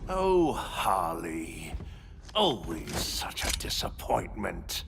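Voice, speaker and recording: An actor playing a cruel, theatrical clown villain in a video game, taunting in a drawn-out delivery. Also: male voice, low voice, huge pitch swings, gravelly, noisy background, exaggerated voice. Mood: mocking, disdainful.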